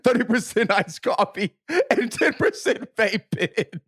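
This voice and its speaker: A man in his thirties with an American accent and a high, very lively voice. He talks as a podcast co-host in casual, comedic banter. His delivery is animated, profane and full of slang. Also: a little slow, husky. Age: 30s. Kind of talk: casual comedic banter and mock-dramatic reading.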